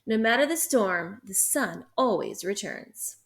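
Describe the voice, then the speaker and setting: A woman reading a calm, wise park ranger. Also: mid-range voice, a little slow. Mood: consoling. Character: kind park ranger who comforts a boy.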